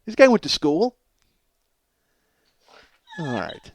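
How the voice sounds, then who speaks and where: Higher-voiced man riffing like a comic. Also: takes it slowly, vocal fry. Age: 40s. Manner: profane; animated, emphatic, self-interrupting riffing. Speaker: comedy podcast host. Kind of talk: rambling comedic monologue, casual chat.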